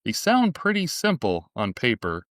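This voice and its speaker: A man's voice: medium pitch, huge pitch swings, normal speed, a bit bright, no room sound, studio quality. A man in his late thirties hosting a podcast interview, friendly and reflective. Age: 30s. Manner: friendly, reflective, lightly scripted intro. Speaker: podcast host.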